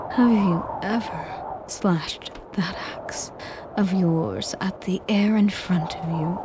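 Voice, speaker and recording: A woman playing an ancient, sadistic female air dragon in a dramatic audio roleplay, breathy and whispery, speaking deliberately with haughty, menacing condescension. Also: on the low side, background noise. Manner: slow, deliberate, condescending, taunting. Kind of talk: dramatic scripted roleplay monologue.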